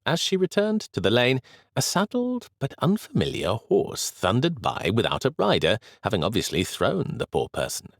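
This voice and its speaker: A man narrating a period novel as an audiobook in a measured, expressive storytelling manner. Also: mid-range voice, creaky, no room sound, clean studio sound.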